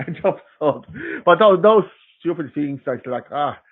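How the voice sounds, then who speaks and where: A man's voice: on the high side, lively intonation. Polish-speaking man on a tinny call line. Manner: chatty, halting restarts, laughs often. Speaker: guest, systems engineer. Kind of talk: casual interview chat. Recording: tinny phone-like call audio.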